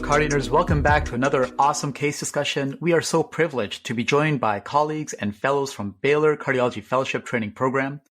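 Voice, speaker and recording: A man hosting a podcast, opening the episode and greeting guests in an energetic, welcoming way. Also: medium pitch, fast talker, hardly any pauses, over music. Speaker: podcast co-host. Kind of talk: podcast host talk.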